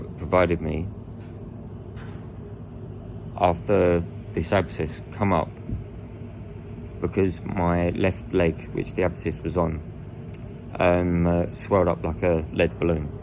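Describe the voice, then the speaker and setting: Croaky low-voiced man. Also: background noise. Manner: rambling with restarts and asides. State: croaky voice from lying down. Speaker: vlogger telling his own story. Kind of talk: candid personal storytelling. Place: indoors lying down at home.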